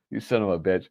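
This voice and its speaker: Low-voiced nasal man, rambling. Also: a little mellow, no room sound, studio quality. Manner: rambling, self-correcting, full of fillers. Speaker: host. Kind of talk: casual livestream chat.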